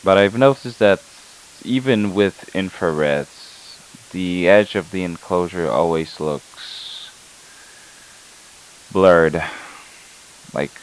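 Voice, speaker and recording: A man playing a weary, uneasy night-shift scientist, recording a halting, clinical yet introspective audio log for an analog horror drama. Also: low-pitched, smooth, rough recording. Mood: hesitant, brooding. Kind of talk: scripted found-footage horror audio log. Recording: hissy analog tape with static.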